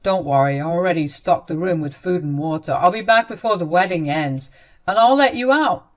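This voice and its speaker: A woman's voice: lowish voice, fairly quick, voice pushed down, close to an everyday voice. A woman voicing a cold and dismissive young man, a son who speaks in a curt, matter-of-fact way.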